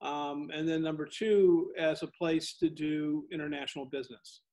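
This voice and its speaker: A man's voice: a little high, fairly even intonation, moderate pace, darkish tone, low-quality recording. A man hosting an interview, asking long friendly questions with ums and you-knows. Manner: friendly, rambling questions with fillers. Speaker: interview host.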